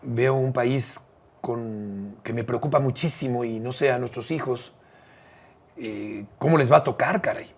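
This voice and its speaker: A middle-aged Mexican man with a Mexican accent, speaking in a husky, slightly breathy voice at an ordinary mid pitch. He talks earnestly and reflectively, like a guest opening up in a friendly interview. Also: unhurried. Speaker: interview guest, radio broadcaster. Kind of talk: warm casual interview talk.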